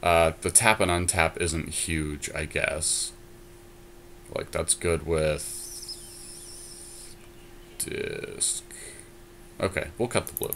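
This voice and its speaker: Low-voiced man thinking aloud. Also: fairly even intonation, slow, pauses often, a bit bright, a little hiss or hum. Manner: thinking aloud, many ums and likes. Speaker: podcast co-host. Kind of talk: casual chat about deckbuilding.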